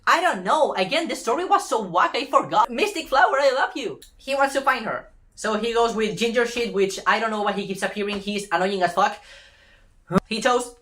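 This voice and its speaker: A woman's voice: on the high side, lively intonation, moderate pace, fairly bright tone. A woman in a casual, rambling rant, retelling a story with sarcastic, sweary exclamations.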